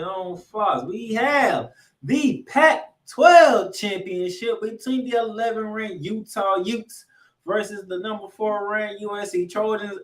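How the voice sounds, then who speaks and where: A man hosting a sports podcast, giving football preview commentary in an announcer-like way. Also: high-pitched. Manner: announcer-like, addresses listeners as ladies and gentlemen. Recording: roomy echo, distant from mic.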